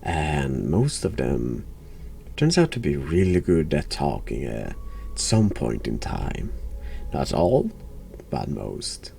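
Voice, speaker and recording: A man streaming a horror game, giving casual reaction commentary in a halting, dry deadpan way. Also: rumbling low, vocal fry, a little hiss or hum.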